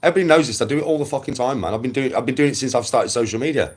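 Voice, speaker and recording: A man's voice: medium pitch, very fast, slightly rough. A man in his forties with an English accent, ranting casually on a livestream, bluntly and with plenty of swearing, hammering points home by repeating them. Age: 40s. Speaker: live streamer.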